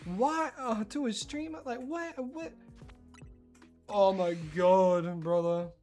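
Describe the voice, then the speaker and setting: An Australian streamer chatting casually while gaming, rambling and excitable, breaking into high squeals of surprise. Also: slow pace, soft background music.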